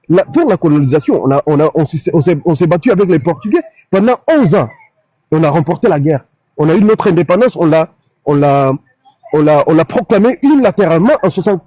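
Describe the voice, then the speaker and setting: A man from Guinea-Bissau with a Bissau-Guinean accent calling into a radio debate, speaking in halting, impassioned bursts full of restarts and fillers over a phone line. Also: on the high side, dry recording. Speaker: caller.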